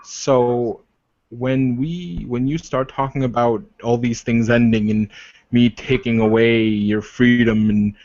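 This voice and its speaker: A man's voice: mid-range voice, fairly even intonation, darkish tone. A man playing a protective, proud werewolf warrior leader in a tabletop game, speaking in emphatic declarations. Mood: firm, earnest. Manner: slow, emphatic, declarative speech. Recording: roomy, dull lo-fi microphone.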